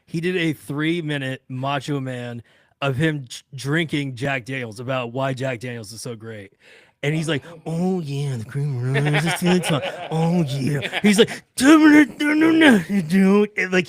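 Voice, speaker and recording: A man talking as a radio-industry guest, telling casual anecdotes in an animated, unguarded, salty-tongued way. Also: fairly high pitch, unhurried, no echo. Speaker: radio broadcaster, podcast guest.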